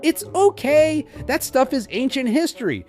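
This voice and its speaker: An earnest, friendly boy fox, played by a woman in a cartoon dub. The voice is high and extremely animated, with faint music underneath. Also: moderate pace. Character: earnest, gentle young boy fox inventor.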